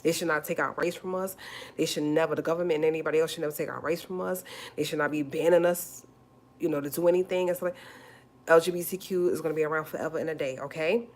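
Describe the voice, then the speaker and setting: Low-voiced chatty forty-year-old woman. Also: fairly even intonation, fast talker, a little mellow. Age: 40s. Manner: chatty, emphatic, repeats points, calls listeners honey. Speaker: livestream host. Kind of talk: casual livestream talk.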